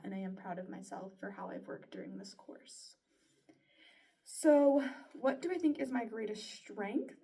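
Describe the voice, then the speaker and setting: A young woman talks through her own performance in a casual, earnest spoken reflection. Her tone is soft and mellow, and she leans on easy fillers like "so" and "like." Her voice sits a little back from the microphone. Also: on the high side, far from the mic. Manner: chatty, earnest, lots of likes and sos. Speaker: broadcasting student.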